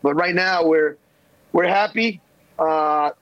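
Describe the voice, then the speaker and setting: A middle-aged man from El Salvador, a national team coach, answering interview questions haltingly with many fillers and restarts. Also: fairly high pitch, no room sound. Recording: dull, dry remote line.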